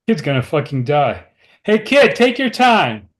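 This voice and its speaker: A man acting a gruff, exasperated drilling engineer in a drama script read. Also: fairly high pitch, sing-song, measured pace. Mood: alarmed. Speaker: actor.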